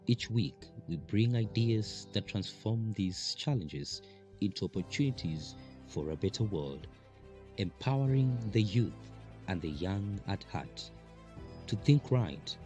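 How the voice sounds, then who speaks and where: A man reading a scripted show promo in a slow, deliberate, inspirational way. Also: fairly low pitch, mellow. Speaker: show announcer. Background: music.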